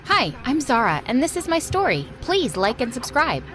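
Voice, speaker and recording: A woman playing a kind, nervous teenage girl who narrates her own story in an animated video. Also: sits high, normal speed. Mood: bright storytelling. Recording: music under the voice.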